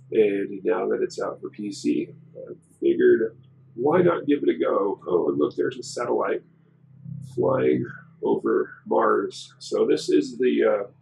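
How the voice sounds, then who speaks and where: A man hosting a casual gameplay commentary, hoarse and raspy from a cold, explaining things in a rambling way with many fillers. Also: on the low side, darkish tone, slight background noise, poor audio. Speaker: gaming channel host.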